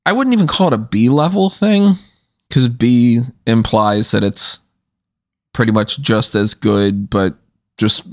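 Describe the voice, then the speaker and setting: Low-voiced animated male podcaster. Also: vocal fry, no echo, studio quality. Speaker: podcast co-host. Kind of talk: casual chat.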